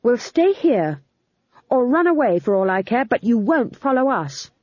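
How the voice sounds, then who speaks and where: A woman's voice: on the high side, very animated, a little slow, a little mellow, no echo, dull audio, pitched above the performer's own voice. A woman voicing a young woman witch who is prim yet fierce, raised and insistent.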